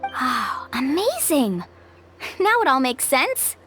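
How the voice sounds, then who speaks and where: A woman's voice: high voice, wildly swooping pitch, slow pace, heavily acted. An actress playing a friendly, encouraging young woman guide who leads the group in a video game. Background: game music.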